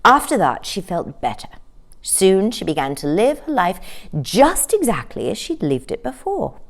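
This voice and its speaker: A woman storyteller narrating a children's story in an expressive read-aloud style. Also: mid-range voice, a little slow.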